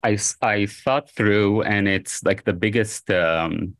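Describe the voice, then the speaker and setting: A man's voice: lowish voice, takes it slowly. A man in his forties whose first language is Arabic, answering interview questions over a video call in a rambling way, with many fillers, self-corrections and chuckles. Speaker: historian guest. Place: home room by a window.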